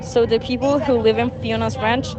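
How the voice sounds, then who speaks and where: Female story narrator, slightly high voice, halting scripted read, clear background noise. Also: fairly even intonation, muffled recording. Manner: halting, stumbling over words. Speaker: student narrator of a class radio drama. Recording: noisy recording with background rumble.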